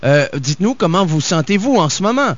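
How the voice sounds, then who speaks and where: Male interviewer, halting and hesitant; slightly high voice, dull lo-fi sound. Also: sing-song. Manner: hesitant, many fillers and restarts. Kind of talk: interview questions.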